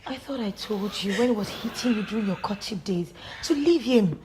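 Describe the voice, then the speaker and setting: A woman's voice: mid-range voice, lively intonation, a little fast, very noisy, lightly acted. Woman playing an indignant scolding friend. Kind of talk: melodrama acting.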